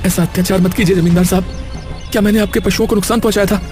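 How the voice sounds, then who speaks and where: A man playing a poor, humble, anxious and tender-hearted shepherd in an animated moral story, with a hesitant, pleading way of talking. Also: high voice, rapid-fire, soft background music, natural voice. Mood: wronged, sorrowful.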